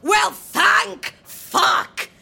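A domineering, explosive female boss in an audio drama. She shouts at a piercingly high pitch, sharp and forceful, heaping scorn on her underlings with slow, weighty emphasis. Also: gravelly, exaggerated voice. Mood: angry, commanding.

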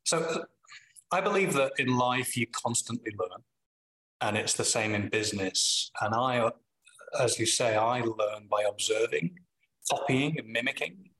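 Middle-aged Manchester man, a guest reflecting on leadership: bright, forceful tone, thoughtful pauses and self-corrections. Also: mid-range voice, animated, rough recording. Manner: thoughtful, with frequent pauses and restarts. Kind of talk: reflective interview answers.

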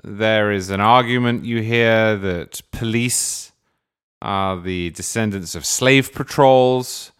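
Man, interview host, measured questioner, lowish resonant voice. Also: a bit bright, clean tone. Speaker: podcast interviewer.

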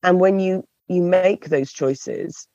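A woman with a croaky, hoarse voice, answering interview questions thoughtfully and often repeating words as she searches for phrasing. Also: lowish voice, takes it slowly, warm tone, no room sound, muffled recording. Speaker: podcast guest.

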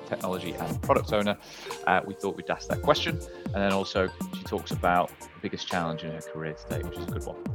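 A man hosting a podcast, giving a brisk, upbeat introduction and asking interview questions. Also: sits low. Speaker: podcast host.

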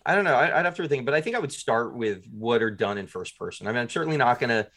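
A man's voice: medium pitch, expressive, racing, fairly bright tone. A man speaking as a podcast guest in a casual, jovial interview, often thinking aloud as he talks about his creative work. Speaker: podcast guest, audio drama writer and producer.

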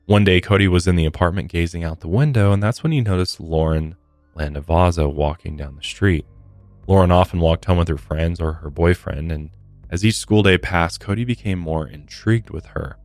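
Very low male true crime narrator. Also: moderate pace, a little mellow, no echo. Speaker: podcast co-host. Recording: dry close studio sound, music underneath. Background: background music.

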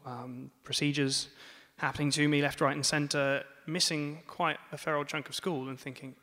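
A young man with a French accent tells a true story on stage, chatty and wry, often pausing on "um". His voice sits a touch high for a man, and the live venue adds a long, ringing reverb. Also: a bit bright. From France. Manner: chatty and self-mocking, many ums. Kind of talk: live personal storytelling to an audience. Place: museum event space.

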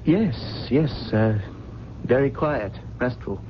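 An actor playing a troubled, well-spoken amnesiac drifter, halting and polite, in a 1970s radio drama. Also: male voice, mid-range voice, close to an everyday voice. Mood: polite, subdued. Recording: dull, noisy vintage radio broadcast recording.

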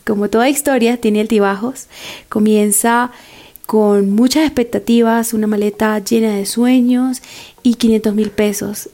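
Bright-toned young Venezuelan woman, slightly creaky. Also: fairly high pitch. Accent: Venezuelan Andean. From Táchira, Venezuela. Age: 20s. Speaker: podcast guest, makeup artist. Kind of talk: personal storytelling.

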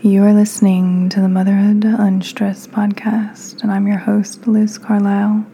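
A woman hosting a guided meditation in a soft, breathy, near-whispered voice, calm and soothing with unhurried pauses. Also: mid-range voice, a little hiss or hum. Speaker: podcast host, meditation guide.

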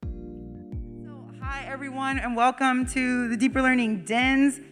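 Chatty upbeat woman hosting, kinda high voice, echoey room. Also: steady tone, takes it slowly, faint music behind. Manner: upbeat, chatty, laughing asides. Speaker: event co-host, emcee. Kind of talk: welcoming an audience at a live event. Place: live event hall. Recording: echoey hall.

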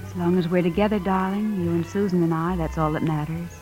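An actress playing a devoted American wife in a 1950s radio drama, earnest and emotive. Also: female voice, lowish voice, steady tone, quick pace, darkish tone, natural voice, rough recording. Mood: dreamy, loving. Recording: old radio broadcast with music.

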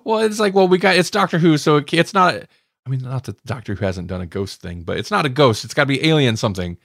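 A male podcast co-host with an average-pitched voice talks in a loose, conversational way. He offers his opinions informally, like someone in a fan discussion. The sound is dry, with no room echo. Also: lively intonation, fast talker, gravelly, fairly bright tone. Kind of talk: casual fan discussion chat.

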